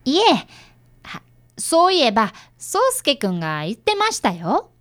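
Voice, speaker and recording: A woman playing a bright, polite fifth-grade boy apprentice detective in a radio drama. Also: fairly high pitch, huge pitch swings, unhurried, full character voice. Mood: polite, wistful. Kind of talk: radio drama acting with first-person narration.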